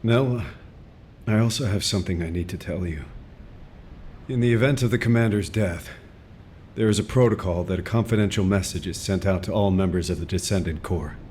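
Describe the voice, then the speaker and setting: A gentle male officer, voiced by an actor speaks carefully and respectfully in a game cutscene. His voice is low. A faint rumble hums beneath. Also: a little fast, close to an everyday voice. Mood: earnest. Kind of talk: video game cutscene dialogue.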